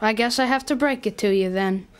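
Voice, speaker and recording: A woman's voice: medium pitch, brisk, smooth, close to an everyday voice. A woman playing a smug young boy gamer in an audio drama, needling and mock-concerned. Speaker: actor.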